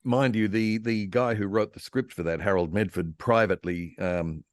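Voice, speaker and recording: A man speaking as a guest historian in a casual, informed discussion, with halting, rambling phrasing and repeated words. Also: fairly low pitch, fairly even intonation, no echo. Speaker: panel guest, radio historian.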